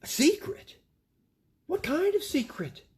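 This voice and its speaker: A man acts out a little boy raccoon from a bedtime storybook. The voice is lifted very high, childlike and wide-eyed, full of curious questioning and affection. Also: sing-song, slow, darkish tone, full character voice.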